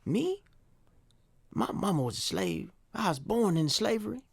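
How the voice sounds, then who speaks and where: A man playing an old, teasing former slave and musician in an audio drama, with a husky, gravelly drawl. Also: high voice, huge pitch swings, a little slow, dry recording, clean studio sound, full character voice. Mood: reflective, matter-of-fact. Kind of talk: nostalgic audio drama acting.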